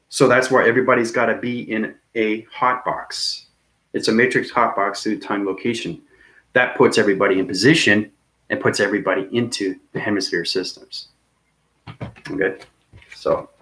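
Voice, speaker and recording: Guy rambling on about cosmic stuff, low voice, repeats himself a lot. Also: steady tone, normal speed, fairly bright tone. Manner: rambling, repetitive, laughs at himself. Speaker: video host. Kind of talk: rambling cosmic monologue to viewers.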